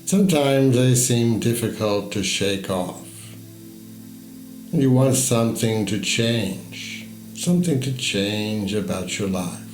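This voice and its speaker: A man with a lowish voice leads a guided meditation, telling it as a story. He speaks very slowly and deliberately, leaving space between phrases. The voice is steeped in echo, with music underneath. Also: a little mellow. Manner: slow, measured, deliberate pauses between phrases. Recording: echoey voice over music.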